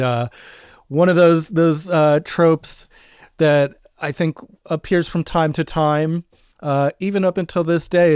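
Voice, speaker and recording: A man's voice: fairly high pitch, a little mellow, clean tone, no echo, clean studio sound. A middle-aged man co-hosting a podcast, chatting casually about producing audio drama.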